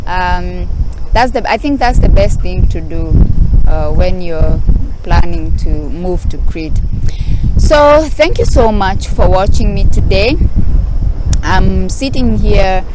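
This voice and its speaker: Woman vlogging outside on some rocks, slow and hesitant, lots of ums, cars going by in the background. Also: lowish voice, lively intonation. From Kenya. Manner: hesitant, pausing often with fillers. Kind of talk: casual advice vlog. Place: outdoors sitting on rocks. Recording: outdoor recording with traffic noise.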